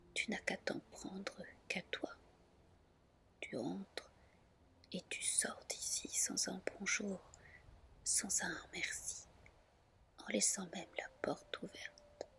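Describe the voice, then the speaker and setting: A woman's voice: medium pitch, sing-song, takes it slowly, gravelly, long pauses, pushed and bright, exaggerated voice. Woman whispering as a scolding dragon-headed fairy godmother. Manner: reproachful, commanding. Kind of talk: whispered ASMR storybook reading.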